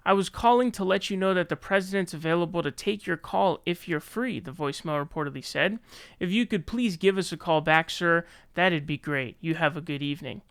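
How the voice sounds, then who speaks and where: American guy doing casual political commentary, highish smooth voice, pretty dry sound, opinionated. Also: a little fast, fairly bright tone, dry recording. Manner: opinionated, colloquial. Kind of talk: casual news commentary, reading articles aloud.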